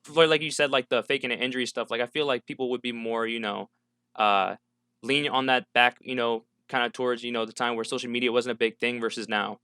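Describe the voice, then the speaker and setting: A man's voice: mid-range voice, fairly even intonation, fast talker, sharp tone, smooth, dry recording, clean studio sound. A man co-hosting a casual interview podcast, asking long, meandering questions. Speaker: podcast co-host.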